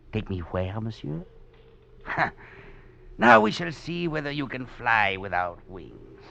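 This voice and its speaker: An actor playing a bitter, sardonic French fugitive, brooding and ironic, in a 1940s radio drama. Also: male voice, mid-range voice, big pitch swings, slow, gravelly, long pauses, bright, forceful tone, full character voice. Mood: gloating, dreamy. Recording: dull vintage radio recording.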